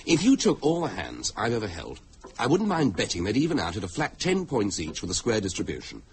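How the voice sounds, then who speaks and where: An actor playing a sceptical, pedantic husband with an English accent in a British radio drama. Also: male voice, lowish voice, wildly swooping pitch, fairly quick, a little hiss or hum. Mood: argumentative. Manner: pedantic, dismissive. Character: argumentative, sceptical husband, pedantic bridge player.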